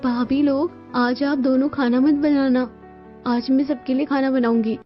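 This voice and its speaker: A woman's voice: high-pitched, fairly even intonation, a little slow, voice pushed up. A woman voicing an earnest, caring young daughter-in-law in a cartoon family story. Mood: cheerful. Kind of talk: Hindi cartoon story acting. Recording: muffled lo-fi sound with music bed.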